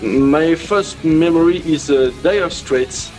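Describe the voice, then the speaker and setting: A man in his forties with a French accent, a guest musician speaking slowly and hesitantly in English. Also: fairly high pitch, darkish tone, faint music behind, poor audio. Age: 40s. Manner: slow, hesitant, pausing.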